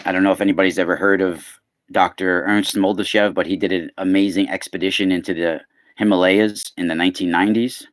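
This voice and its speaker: A man's voice: deep voice, fast, dry recording. A man in his forties with a youthful-sounding voice, casually chatting to viewers by name while hosting a live unboxing. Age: 40s. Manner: chatty, talks to viewers by name, bursts of excitement. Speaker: live stream host.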